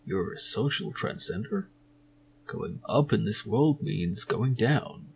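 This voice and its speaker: A man voicing a cryptic, serene stranger in an adventure game, speaking in a slow, hushed, enigmatic way. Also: fairly low pitch, animated, vocal fry, slightly rough, full character voice.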